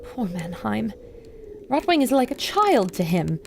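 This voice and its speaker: A woman's voice: mid-range voice, big pitch swings, a little slow, vocal fry, a little hiss or hum. A woman playing a spirited, caring radio reporter on an expedition, confiding dramatically to listeners in a pulp adventure serial. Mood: hushed, reflective.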